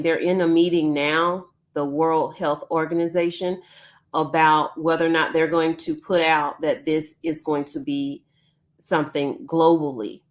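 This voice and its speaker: A woman hosting a casual live news stream from home, chatty with frequent ums, who is losing her voice. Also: sits low. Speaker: YouTube news channel host.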